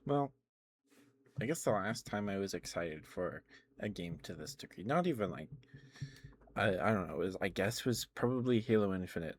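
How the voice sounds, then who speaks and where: A man chatting casually to viewers on an ASMR livestream, rambling with hesitant pauses. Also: lowish voice, expressive, a little hiss or hum.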